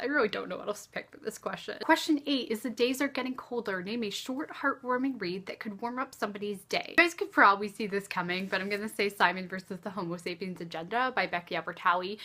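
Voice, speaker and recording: A woman's voice: medium pitch, pushed and bright. A woman chatting casually to viewers as a book vlogger, with an enthusiastic, gushing, slangy way of talking.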